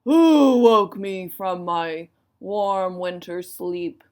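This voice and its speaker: A woman voicing a big, grumpy, sleepy male bear with a slow growl in a children's storybook. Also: lowish voice, expressive, darkish tone, clean tone. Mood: snarling, angry. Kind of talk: children's storybook read-aloud.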